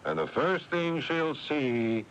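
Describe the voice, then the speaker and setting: An actor playing a world-weary, exasperated older brother who narrates a 1980s radio drama with brooding guilt, in dramatic delivery. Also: male voice, a little high, takes it slowly, a bit bright, a little hiss or hum. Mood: weary, evasive. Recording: dull lo-fi AM radio broadcast.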